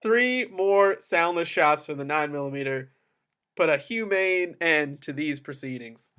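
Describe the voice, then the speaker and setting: Higher-voiced man narrating a screenplay. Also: lively intonation, measured pace, sharp tone, clean tone. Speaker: action narrator at a table read. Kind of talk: screenplay stage-direction narration.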